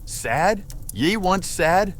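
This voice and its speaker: A man voicing a loud, grumpy male dwarf smith, brash and coarse in manner. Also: high-pitched, expressive, drawn-out words, slight background noise, pitched above the performer's own voice, heavily acted. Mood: mocking.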